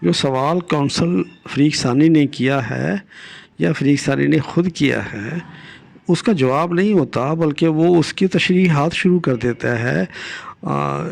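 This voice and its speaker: An older man with a Pakistani accent gives a deliberate, didactic law lecture, repeating key phrases and switching into English legal terms. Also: fairly high pitch, creaky. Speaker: retired judge giving legal lessons.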